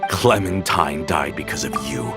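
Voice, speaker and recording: An actor playing a bitter, vengeful traitor in a video game, menacing and scornful in a dramatic delivery. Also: male voice, rumbling low, moderate pace, over music. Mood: anguished, accusing. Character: bitter, vengeful male traitor nursing an old grudge.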